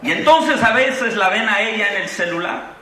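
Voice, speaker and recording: A man's voice: high voice, moderate pace. A middle-aged Mexican man with a Mexican Spanish accent, preaching a sermon at a convention, moving from fervent proclamation to easygoing anecdotes. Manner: impassioned preaching with rising exclamations, then relaxed storytelling. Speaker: pastor. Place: large convention hall. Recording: echoey hall from far off.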